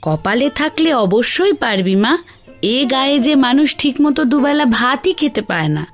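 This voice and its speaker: A woman voicing a gentle, careworn village mother with a tender, consoling manner, in a children's cartoon. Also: high-pitched, very animated, moderate pace, soft background music. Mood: tender, resigned.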